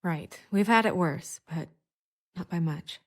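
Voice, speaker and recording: A woman's voice: fairly low pitch, unhurried. An actress playing an earnest, troubled woman in a video game, direct and determined. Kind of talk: dramatic video game acting.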